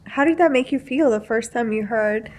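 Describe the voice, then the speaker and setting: A woman's voice: on the high side, normal speed, darkish tone. A woman hosting a podcast interview, speaking casually and informally in an encouraging way. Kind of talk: casual supportive interview chat.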